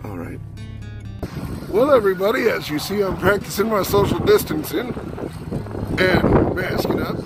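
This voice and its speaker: A man's voice: sits high, big pitch swings, moderate pace, pauses often, rough recording. Man losing his voice, vlogging outdoors. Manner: chatty, wry, self-narrating. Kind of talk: casual travel vlog. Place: outdoors, windy town streets. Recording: music under the voice.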